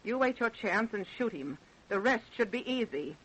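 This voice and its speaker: An actress playing a scheming, impatient wife in a radio drama, speaking with insistent, scornful urgency. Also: female voice, fairly high pitch, lively intonation, moderate pace, a bit bright, slight background noise, low-quality recording. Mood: coldly scheming. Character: scheming, impatient wife plotting her husband's murder. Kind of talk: old-time radio drama acting.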